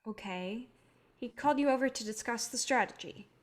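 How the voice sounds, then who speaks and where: A woman's voice: on the high side, brisk, natural voice. A woman acting as a protective, guilt-ridden idealistic filmmaker in a staged play reading, speaking earnestly and pleadingly. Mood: gently coaxing.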